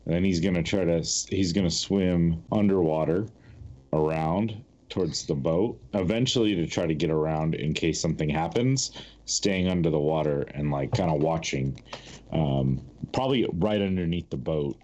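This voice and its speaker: A man's voice: low-pitched, normal speed, a little mellow. A man playing a tabletop game, describing his character's actions casually in hesitant, piecemeal phrases, on a slightly muffled recording. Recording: slightly dull, muffled sound.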